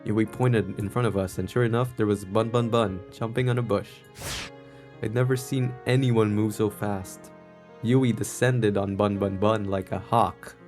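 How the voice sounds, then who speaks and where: A man with a fairly low voice narrates a visual novel for a stream, with music playing behind him. He reads expressively and drops in playful asides. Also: fairly even intonation, a little slow, a little mellow. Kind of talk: expressive read-aloud narration with live reactions.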